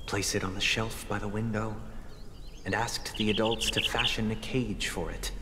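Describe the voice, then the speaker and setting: An actor playing a solemn, courteous man telling a story in a measured, formal way in a game cutscene. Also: low-pitched. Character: solemn, courteous young man philosophically posing a moral dilemma. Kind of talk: dramatic monologue in a video game cutscene. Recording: long reverb, loud music behind.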